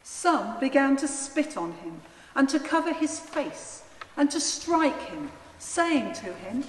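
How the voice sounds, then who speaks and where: A woman narrating a dramatised scripture reading in a solemn, deliberate manner. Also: high voice, very animated. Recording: echoey church, long reverb, faint noise.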